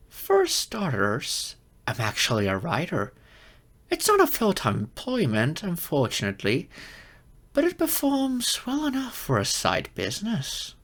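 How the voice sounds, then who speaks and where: A man's voice: a little high, huge pitch swings, takes it slowly, fairly bright tone, exaggerated voice. A man voicing a geeky young silver fox kitsune in an ASMR roleplay, flustered, hesitant and earnest, with slightly formal wording. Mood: gently proud. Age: young adult.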